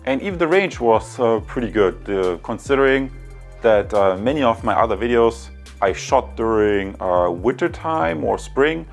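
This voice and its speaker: A man's voice: medium pitch, animated, moderate pace, gravelly, soft background music. A man hosting a product review, giving a casual, unscripted summary in an upbeat way, addressing viewers directly. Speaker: product reviewer, video host.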